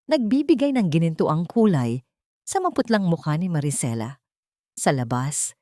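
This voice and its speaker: A woman storyteller with a fairly low voice reads a scripted romantic drama. Her delivery is solemn and melodramatic. The sound is close and dry. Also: a little mellow, no room sound. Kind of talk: scripted dramatic Tagalog love-story narration.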